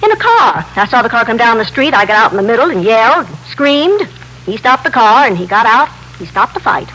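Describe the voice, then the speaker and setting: An actress in a 1950s radio drama playing a talkative, excitable middle-aged widow who runs a candy store, repeating herself in simple, slightly broken grammar. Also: female voice, fairly high pitch, brisk. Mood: animated, insistent. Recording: noisy vintage radio broadcast recording.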